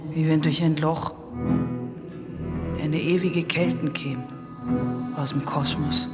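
A woman's voice: low voice, fairly even intonation, takes it slowly, over loud music. An actress playing a tender, grieving woman in a lyrical radio drama, speaking poetically and intimately.

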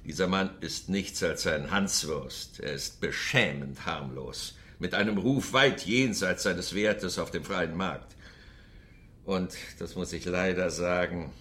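A man's voice: low voice, takes it slowly, fairly bright tone. An actor in a German radio drama playing a stern, contemptuous Soviet secret police chairman with a pompous manner. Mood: cold, commanding.

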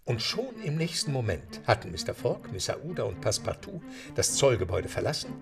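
A man's voice: mid-range voice, vocal fry. A man narrating a German audio drama as a dramatic, scripted storyteller. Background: background music.

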